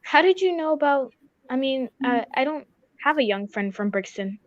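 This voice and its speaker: A woman playing a loyal, easily indignant gentleman sidekick in a radio drama, exclaiming in an old-fashioned, blustering way. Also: high voice, expressive, moderate pace. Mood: surprised, sympathetic. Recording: dull, roomy recording.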